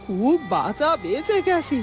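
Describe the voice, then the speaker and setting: A woman's voice: high voice, very animated, measured pace. A woman voicing the sly, scheming wife of a village headman in a cartoon, theatrical and wheedling. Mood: scheming, nervous. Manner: theatrical, wheedling, self-questioning. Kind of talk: dramatic cartoon voice acting. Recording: music behind the voice.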